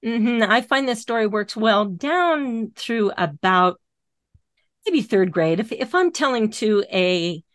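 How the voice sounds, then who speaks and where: An older woman from the American Midwest who tells stories, narrating softly and slowing down for effect, then chatting in an interview. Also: fairly high pitch, animated, dry recording, clean studio sound. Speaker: storyteller. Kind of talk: storytelling and interview chat.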